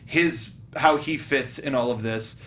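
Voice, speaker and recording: Guy hosting a movie chat, geeky and halting, rumbly noise behind him. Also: fairly bright tone. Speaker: host of a film review show. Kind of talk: casual panel discussion.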